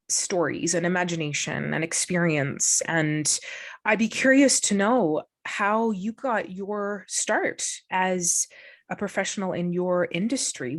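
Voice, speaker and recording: Upbeat woman hosting an interview. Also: lowish voice. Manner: upbeat, encouraging, with frequent "hmm" and "brilliant". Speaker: podcast host. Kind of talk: casual interview chat.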